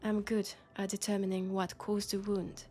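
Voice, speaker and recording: A woman with a French accent voicing a sharp, scolding but caring young policewoman in an intimate sci-fi roleplay audio drama. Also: medium pitch, completely flat delivery, normal speed, close to an everyday voice. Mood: probing, confident.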